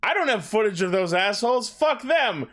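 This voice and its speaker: A man's voice: high voice, sing-song, normal speed, fairly bright tone. A man co-hosting a podcast, giving casual, mocking recaps with blunt, heavy swearing. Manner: blunt, heavily profane, mocking. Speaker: podcast co-host. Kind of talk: casual sarcastic recap commentary.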